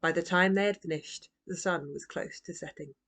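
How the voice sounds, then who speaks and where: A woman narrating a children's storybook as its author, in a gentle, expressive manner. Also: on the low side, moderate pace. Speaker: author reading own book.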